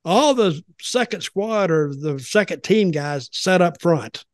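Podcast host, man in his 70s, slightly high voice, casual storyteller, dry close-miked sound. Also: animated, takes it slowly, no echo. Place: small home office, indoors. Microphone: desk microphone with headset.

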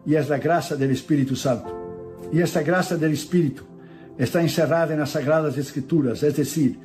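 A man's voice: on the high side, a little fast, a little mellow, faint music behind. A middle-aged man preaching a sermon in an earnest tone, reciting scripture in a solemn cadence. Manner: earnest preaching, reads Bible verses aloud in solemn cadence.

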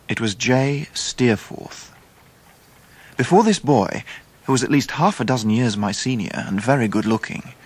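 An English actor playing a grown man recalling his schooldays, narrating a radio drama in a measured, literary, reflective way. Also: lowish voice, husky. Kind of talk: scripted first-person narration in radio drama.

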